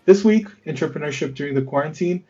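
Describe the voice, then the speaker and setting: A man's voice: fairly high pitch, fast talker, mellow. Young man hosting, lo-fi call audio. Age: young adult. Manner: hesitant, many fillers and restarts. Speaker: podcast host. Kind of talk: hosting a student podcast conversation.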